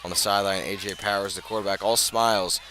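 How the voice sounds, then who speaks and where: An American man working as a radio play-by-play announcer, commentating live on a football game, measured between plays and swelling with the action. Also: lowish voice, steady tone, noisy background.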